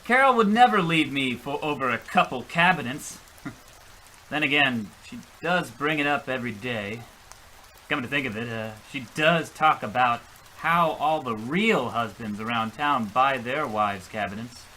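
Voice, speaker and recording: A man's voice: mid-range voice, lively intonation, a little slow, bright, forceful tone, a little hiss or hum, low-quality recording. A man playing a gullible, defensive husband who rambles through his tall story with exasperation in an old-time radio comedy. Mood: indignant.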